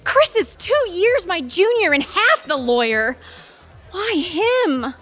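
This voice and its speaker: A woman's voice: extremely high pitch, big pitch swings, slow, fairly bright tone, faint music behind, full character voice. A woman playing an intense, bitter, ambitious lawyer and boss in an audio drama, sardonic and emphatic. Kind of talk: audio theatre acting.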